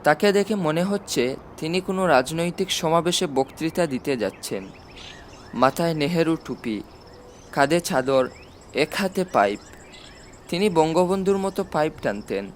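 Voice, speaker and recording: A man's voice: a little high, animated, measured pace, slight background noise. A man reading a novel aloud as a scripted audiobook narration. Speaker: audiobook narrator and channel host.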